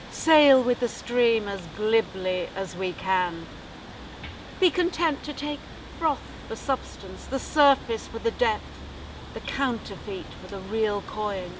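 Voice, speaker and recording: A woman plays a theatrical Victorian lady reciting with wistful, worldly poise. Her voice sits fairly high and moves very slowly and deliberately, over clear background noise in an amateur recording. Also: animated, far from the mic. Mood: reflective. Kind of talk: dramatic literary recitation.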